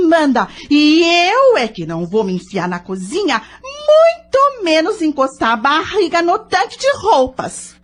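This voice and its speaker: A woman's voice: high-pitched, full character voice. A woman acting a scolding, indignant wife and mother with a Brazilian accent in an audio soap opera. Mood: exasperated, demanding. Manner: scolding, dramatic, exclamatory. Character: nagging, indignant wife and mother deep in debt.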